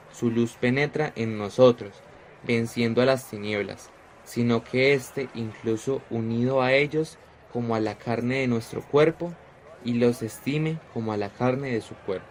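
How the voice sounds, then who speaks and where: A man's voice: mid-range voice, slight background noise, natural voice. An actor playing Esteban, an earnest male disciple who speaks to a crowd in a solemn, preaching way, in a radio drama.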